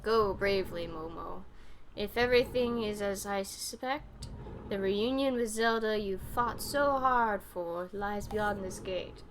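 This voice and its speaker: Woman reading as a slow, solemn old female sage. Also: medium pitch, slight background noise, natural voice, low-quality recording. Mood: solemn, encouraging. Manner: formal, measured, grave. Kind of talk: reading video game dialogue aloud.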